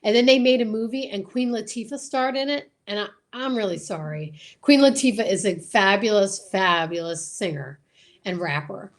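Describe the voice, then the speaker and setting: A woman's voice: medium pitch, moderate pace. A woman with a mid-range voice talks casually as the host of a chat. She is enthusiastic and leans hard on her words, often repeating them for emphasis. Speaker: host of a radio drama group's live chat.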